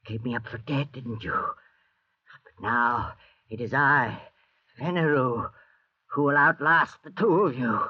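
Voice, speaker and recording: A bitter, half-dead convict gloats in a husky voice, drawing out every word. It is vintage radio melodrama, close and dry on a dull old recording. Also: male voice, mid-range voice, no echo. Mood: bitter gloating. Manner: slow, halting, gloating. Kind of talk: 1940s radio drama acting.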